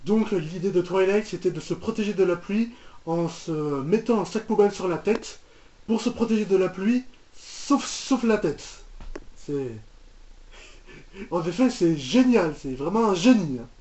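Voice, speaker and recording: A man's voice: high voice, expressive, measured pace, dark tone. A man giving casual, rambling, mock-exasperated commentary as he reacts to a cartoon, often repeating himself. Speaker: reaction video host. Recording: roomy, dull lo-fi microphone sound.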